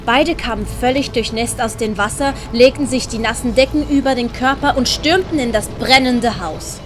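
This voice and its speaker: A woman's voice: fairly high pitch, normal speed, music in the background. A woman with a Swiss German accent reading scripted story narration for an audiobook.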